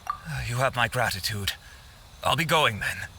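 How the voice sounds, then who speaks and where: A man's voice: mid-range voice, expressive, moderate pace, music in the background, close to an everyday voice. A man voicing an earnest, apologetic publishing official in a video game, formal and deferential. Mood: courteous, earnest.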